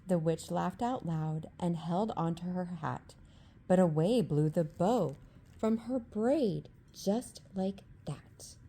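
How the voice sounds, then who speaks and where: A woman reads a rhyming bedtime story in a calm, lulling rhythm. Her voice is low-pitched and mellow, with an unhurried pace. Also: a little hiss or hum. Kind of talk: bedtime story read-aloud, ASMR.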